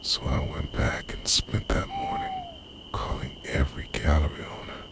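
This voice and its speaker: A man playing an obsessive, devoted boyfriend in an ASMR roleplay, speaking in a hushed, intimate, breathy way that often slips into whispering. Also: extremely low pitch, wildly swooping pitch, normal speed, heavily acted. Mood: tender, intense. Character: obsessive, devoted boyfriend who secretly time-travels, confessing to lover.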